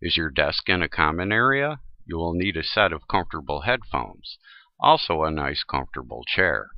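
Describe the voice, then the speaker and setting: A man's voice: a bass voice, big pitch swings, moderate pace, dry recording, highs cut off. A man presenting a tutorial in scripted, instructional narration.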